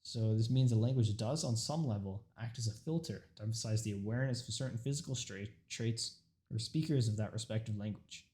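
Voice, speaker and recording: A man's voice: fairly low pitch, a little fast, warm tone. Earnest young man giving a prepared speech. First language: Urdu. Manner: earnest, reads from a script with occasional stumbles and restarts. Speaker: student speaker.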